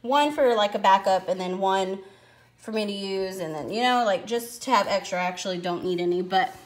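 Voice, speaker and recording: A woman's voice: mid-range voice, brisk. American woman chatting casually in an echoey room. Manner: informal, rambling, explanatory. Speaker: family vlogger. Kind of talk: casual grocery haul vlog chat. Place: indoor home kitchen at a counter. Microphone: handheld phone behind the camera. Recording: echoey room, crinkling package noise. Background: plastic packaging crinkles and clicks.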